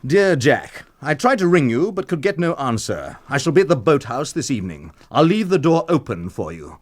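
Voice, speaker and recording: A swaggering, sneering drunken cad. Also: male voice, a little high, very animated, normal speed. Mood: sly, wheedling. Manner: taunting, familiar, theatrically insinuating. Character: sneering drunken blackmailing cad, man, cocky and insinuating. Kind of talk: radio drama acting.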